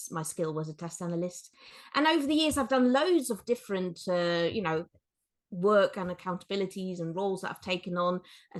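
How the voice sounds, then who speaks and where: A woman from the Netherlands talking as a podcast guest, friendly and a little hesitant, with many fillers. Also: medium pitch. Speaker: guest, author and coach. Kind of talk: casual interview chat.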